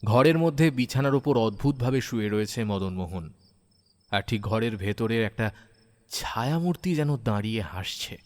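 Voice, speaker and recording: A man narrating a scripted horror story dramatically, with suspenseful, deliberate phrasing. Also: lowish voice, animated, darkish tone.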